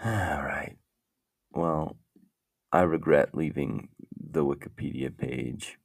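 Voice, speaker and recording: Deep gravelly man, slow rambling chat. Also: animated, no room sound, studio quality. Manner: meandering, muses aloud while browsing. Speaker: podcast host.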